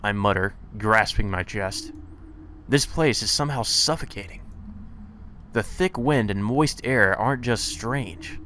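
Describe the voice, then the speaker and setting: A man's voice: lowish voice, unhurried, pushed and bright, a little hiss or hum. A man reading a visual novel aloud as a let's player, mixing the game's text with casual asides.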